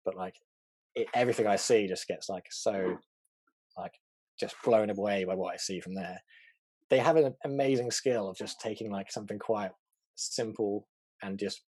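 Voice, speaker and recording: A young man from England with a neutral English accent, a fast-talking, chatty interview guest on a video call, full of fillers and quick laughs. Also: lowish voice, darkish tone, breathy edge, no room sound, low-quality recording. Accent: English, neutral southern. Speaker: interview guest, art director.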